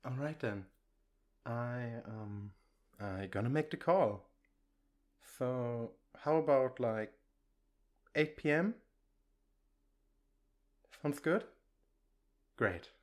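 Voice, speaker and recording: Man acting as slow-talking, affectionate, reassuring boyfriend. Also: mid-range voice. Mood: fond, easygoing. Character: affectionate, reassuring boyfriend, shyly bragging about his partner. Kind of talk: ASMR comfort roleplay, intimate one-sided dialogue.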